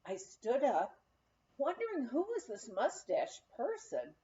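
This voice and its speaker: A woman's voice: high-pitched, takes it slowly, lo-fi sound, slight background noise. A woman reading a humorous memoir aloud as an audiobook, telling it expressively like a storyteller. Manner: expressive storytelling, acting out quoted speech. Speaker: audiobook reader.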